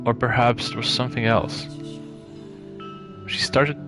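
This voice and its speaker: A man narrates a storybook live at an unhurried, slow pace, with a creaky edge to his lowish voice. He reads in a measured way and stumbles now and then. Music plays clearly behind him. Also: fairly even intonation. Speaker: streamer reading a visual novel aloud.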